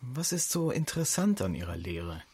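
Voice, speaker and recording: Laid-back tech teammate, animated pitch, teasing, radio play. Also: male voice, moderate pace, husky. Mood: curious. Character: teasing, easygoing male technician on cyber agent's team. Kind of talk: German radio drama acting.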